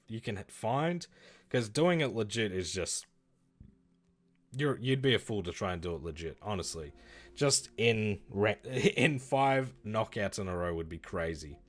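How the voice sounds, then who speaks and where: A man streaming and chatting casually about games, rambling and correcting himself, with his voice going hoarse. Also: on the low side. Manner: rambling, self-correcting, lively. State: losing his voice. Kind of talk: casual livestream chat reviewing games.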